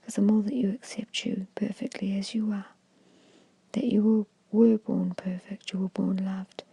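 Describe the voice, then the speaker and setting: Breathy whispering woman, ASMR meditation guide. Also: medium pitch, level tone, moderate pace, no room sound. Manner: hushed, repetitive, gently instructing. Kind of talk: ASMR hypnosis meditation, soothing suggestions.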